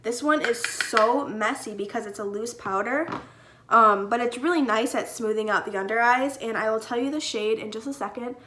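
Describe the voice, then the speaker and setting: Bright-voiced young woman, stuffy. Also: fairly high pitch, moderate pace, a little hiss or hum. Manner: chatty, lots of "like" and "um" fillers. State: stuffy from a cold. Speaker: beauty vlogger. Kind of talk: casual makeup tutorial.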